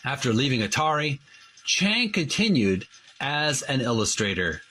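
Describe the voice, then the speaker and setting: Slow, swooping man reading aloud. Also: medium pitch, sing-song, bright, forceful tone, a little hiss or hum, poor audio. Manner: deliberate, stretches words and pauses mid-phrase. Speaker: host of a retro gaming book-reading channel. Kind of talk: reading aloud from a book with casual asides.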